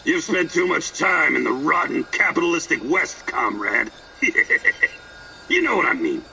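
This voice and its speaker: A man's voice: fairly high pitch, very animated, unhurried, vocal fry, bright, forceful tone, distant mic, a little hiss or hum, heavily acted. An actor playing a manic, teasing, foul-mouthed eccentric man in a video game, telling rambling stories in a mocking, theatrical way. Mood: mock-jovial. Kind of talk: video game character acting.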